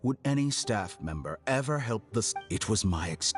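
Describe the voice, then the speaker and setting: An actor playing an arrogant, calculating scholar villain in a video game, speaking in a deliberate, condescending, mock-polite way. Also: male voice, on the low side, expressive, music in the background, close to an everyday voice. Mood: cool, condescending.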